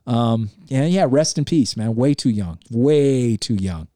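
Podcast co-host, male, laid-back talker, a bit hoarse, dry close sound. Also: medium pitch, unhurried, no echo. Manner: relaxed, reflective, swears casually. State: slightly hoarse. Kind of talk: casual podcast chat.

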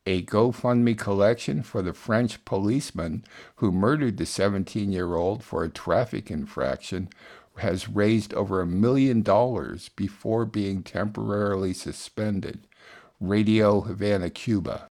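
Low-voiced male radio host. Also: unhurried, a little mellow. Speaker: radio show host and producer. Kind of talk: scripted announcements and news summary.

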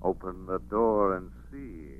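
An actor playing a menacing, unseen avenging crime fighter with slow, ominous, declamatory delivery in 1940s radio style. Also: male voice, sits low, loud music behind the voice. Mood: accusing. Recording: muffled narrow-band 1940s radio recording.

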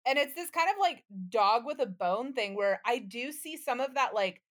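A woman's voice: fairly high pitch, expressive, moderate pace. A woman co-hosting a podcast, bantering casually in an emphatic, candid way and swearing freely. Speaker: podcast co-host.

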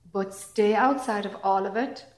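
Woman guiding meditation in an echoey room. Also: mid-range voice. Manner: calm, gentle, short phrases with long pauses. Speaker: meditation teacher. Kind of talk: guided meditation.

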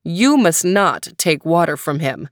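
A woman's voice: lowish voice, very animated, measured pace, no room sound, studio quality. A woman voicing an old woman in a folktale, cautioning and instructing solemnly. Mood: warning, solemn.

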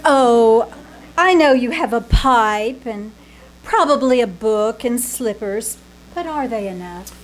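Scatterbrained aspiring actress, played by a woman, 1950s radio style, theatrical, slow and swooping delivery. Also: a little high, lively intonation, low-quality recording. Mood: melodramatic yearning. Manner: theatrical, mock-tragic, gushing. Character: dramatic, scatterbrained young aspiring film actress. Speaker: actor in old-time radio troupe. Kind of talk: 1950s radio comedy acting, live on stage. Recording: live stage recording with faint noise.

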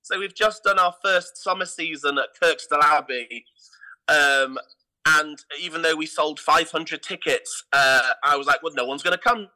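Bright, high-voiced English man telling stories. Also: moderate pace, poor audio. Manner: emphatic, repeats words for emphasis. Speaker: theatre company artistic director, interview guest. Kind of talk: animated anecdotal interview talk.

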